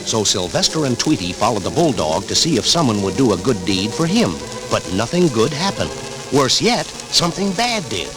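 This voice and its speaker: Casting: male narrator for a children's record, mid-pitched with lively swings, music underneath. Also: moderate pace, poor audio. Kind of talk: scripted children's story narration. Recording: vintage 78rpm record with music.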